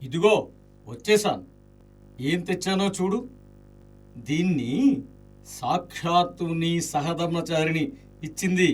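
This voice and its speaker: A talkative, bantering companion to a ruined nobleman in a radio play. The voice is high for a male and sits back from the microphone, with theatrical, exclamatory delivery. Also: unhurried, pauses often, distant mic, faint music behind. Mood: earnest. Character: Maitreya, talkative jesting loyal male friend of a ruined nobleman. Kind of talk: Indian radio play acting.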